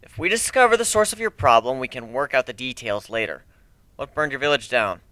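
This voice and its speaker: A man's voice: medium pitch, lively intonation, brisk, bright, forceful tone, dry recording. A man voicing a brash, cocky griffin adventurer with a blunt, sardonic way of talking, in a fantasy story reading.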